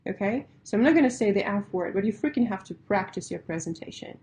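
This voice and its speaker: Woman, Russian native, pitch coach; mellow tone, emphatic and direct. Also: lively intonation, quick pace. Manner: emphatic, direct, laughs lightly, stacks repeated phrases. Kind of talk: coaching talk to startups.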